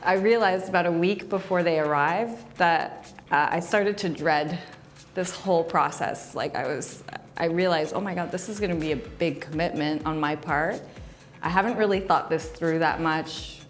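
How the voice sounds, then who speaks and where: A woman with a somewhat low voice talks frankly to an interviewer, her thoughts rambling and often turned against herself. Faint background music plays under her. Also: normal speed. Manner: candid, rambling, self-deprecating. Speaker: agency coach and show host. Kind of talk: candid interview to camera.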